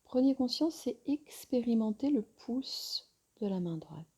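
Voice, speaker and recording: A woman yoga teacher guiding a relaxation meditation in a soft, soothing, breathy voice with long pauses. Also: on the high side, expressive.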